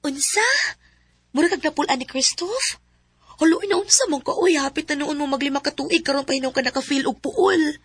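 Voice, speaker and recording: A woman's voice: high-pitched, a little fast, soft background music, natural voice. A woman playing an outspoken young friend who teases and warns, in a radio drama. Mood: teasing. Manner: teasing, outspoken.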